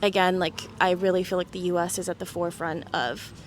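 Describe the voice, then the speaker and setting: A woman, a guest in an interview, talking in a relaxed and reflective way, often pausing with "like" and "um". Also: fairly low pitch, a little hiss or hum. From United States. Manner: thoughtful, many "like" and "um" fillers. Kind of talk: casual reflective conversation.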